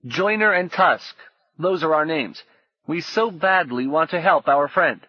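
A sinister stranger, voiced by a male narrator, smooth and almost childishly sweet. Every coaxing word carries menace under the false kindness. The sound is dull and muffled. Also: fairly high pitch, lively intonation, unhurried, no echo, pitched above the performer's own voice. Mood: falsely polite.

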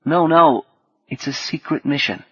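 A man's voice: on the high side, measured pace, breathy edge, dull audio, voice pushed up. A man playing an earnest, insistent teenage boy in an audiobook, with an urgent, emphatic delivery.

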